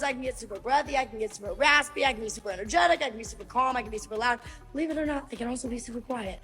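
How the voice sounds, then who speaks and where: Young woman, high and emphatic, echoing clip over faint music. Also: a bit bright. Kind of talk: casual clips from interviews and social videos.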